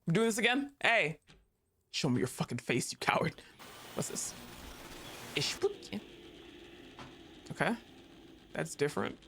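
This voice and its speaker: A man streams a game live and narrates every moment out loud. His voice sits a little high and leaps around constantly. He swears freely, laughs easily and yells at the game. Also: a little hiss or hum. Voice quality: excitable, profane, quick to laugh, talks to the game. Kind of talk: live gameplay commentary.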